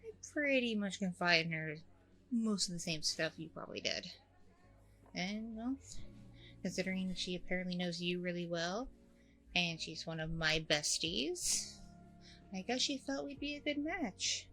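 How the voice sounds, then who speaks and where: A woman's voice: on the low side, animated, a little slow, sharp tone, faint music behind, heavily acted. A woman playing a friendly, nervous single mom on a blind date in an ASMR roleplay, rambling casually in a bubbly way. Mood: shy, friendly. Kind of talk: ASMR audio roleplay, conversational.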